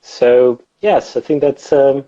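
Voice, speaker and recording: A man's voice: medium pitch, fairly even intonation, slow, mellow, dull audio. A man from southern Brazil, a native Portuguese speaker, co-hosting a casual live chat as a Portuguese teacher, easygoing and friendly. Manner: friendly, easygoing, with fillers and restarts. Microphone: webcam or phone on a video call.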